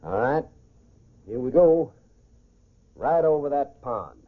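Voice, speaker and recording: An actor playing a confident, calculating gentleman swindler in a 1940s American radio crime drama. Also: mid-range voice, expressive, slow pace. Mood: smug. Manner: self-assured, calculating, clipped orders. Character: smooth, confident gentleman swindler posing as society golfer. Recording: muffled, phone-like 1940s radio recording.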